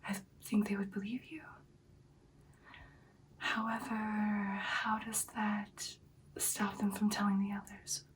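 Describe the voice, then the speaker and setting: A woman playing a devoted, hesitant vampire girlfriend in an ASMR roleplay, speaking in a soft, breathy, halting whisper. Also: mid-range voice, flat delivery, recorded from a distance, a little hiss or hum, natural voice, rough recording. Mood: doubtful, intrigued. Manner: soft, halting, deliberate, intimate. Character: devoted, hesitant female vampire girlfriend plotting with her werewolf lover.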